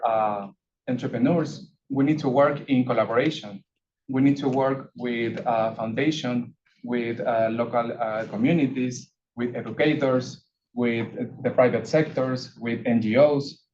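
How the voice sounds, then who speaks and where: A man from Ecuador, a non-native English speaker whose first language is Spanish, speaking as a panelist, quietly and hesitantly, with many fillers and repeated words. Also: medium pitch. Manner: quiet, asked to speak louder. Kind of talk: prepared panel remarks. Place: conference side event. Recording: dull lo-fi audio with faint noise.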